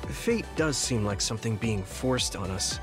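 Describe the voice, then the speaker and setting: An actor playing an earnest young male psychic soldier in a game, speaking gently and sincerely, with music behind. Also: fairly low pitch, expressive, normal speed, close to an everyday voice. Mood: reflective. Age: young adult. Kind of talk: video game dub acting.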